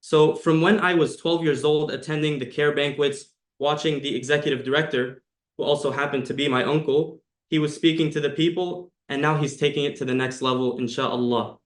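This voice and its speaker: A man's voice: on the high side, steady tone, a little fast. Young adult male, American accent, sincere prepared endorsement, roomy echoing sound. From United States. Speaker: supporter giving an endorsement. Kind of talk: prepared speech, earnest testimonial.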